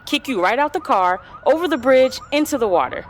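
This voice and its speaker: A woman's voice: high voice, lively intonation, brisk, a little hiss or hum. A woman playing a sassy, teasing younger sister in a comic audio play, talking in a playful, colloquial way. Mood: alarmed, nosy.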